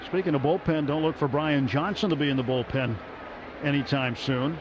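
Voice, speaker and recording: Guy doing radio baseball calls, steady then jumps up on big hits, dull muffled audio. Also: on the high side, normal speed. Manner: steady, rises sharply on big plays. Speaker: play-by-play announcer. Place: ballpark broadcast booth. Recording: muffled radio broadcast with crowd noise.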